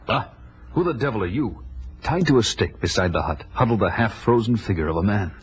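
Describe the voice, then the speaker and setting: A man's voice: on the low side, lively intonation, a little fast, slightly rough. A man playing a hardy barbarian warrior in an audio drama, with grave, theatrical delivery and archaic turns of phrase. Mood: bold, curious. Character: hardy, gruff barbarian warrior recounting his adventures. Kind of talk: full-cast audio drama acting and first-person narration.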